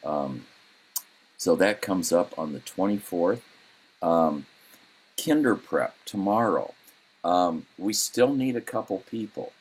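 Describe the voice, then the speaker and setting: A man with an extremely low, husky voice runs through the week's club announcements. He stops and restarts often, filling the gaps with ums and uhs. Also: animated, low-quality recording. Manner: halting, many ums and uhs. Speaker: club president. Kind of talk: meeting announcements.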